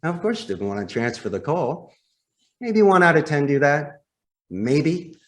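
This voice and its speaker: A man's voice: a little high, big pitch swings, normal speed, fairly bright tone. A man speaking as a podcast guest and sales trainer, explaining phone technique emphatically and putting rhetorical questions straight to the listener. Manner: emphatic, demonstrative, acts out example phone lines.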